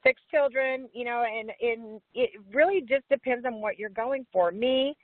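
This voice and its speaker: A woman's voice: fairly high pitch, normal speed, dry recording. A woman in her fifties, a pageant titleholder chatting as an interview guest in a folksy, talkative way, often laughing. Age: 50s. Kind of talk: casual interview chat. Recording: tinny phone line, dry.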